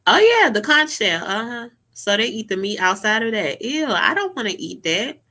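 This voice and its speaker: Sassy, animated young woman vlogger. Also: on the high side, moderate pace. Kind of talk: casual reaction commentary.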